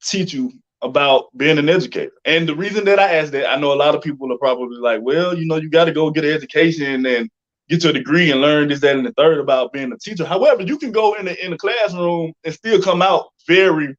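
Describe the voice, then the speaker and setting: A man with a fairly high voice hosts a casual interview through slightly muffled, lo-fi audio. He speaks informally and takes a roundabout path to each question. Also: quick pace. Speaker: interview host.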